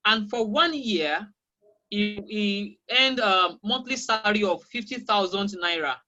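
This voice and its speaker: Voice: medium pitch, expressive, unhurried, sharp tone, lo-fi sound. A teacher from Nigeria with a Nigerian accent, a Yoruba speaker, answering interview questions emphatically and repeating key phrases over a video call. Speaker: guest teacher of English.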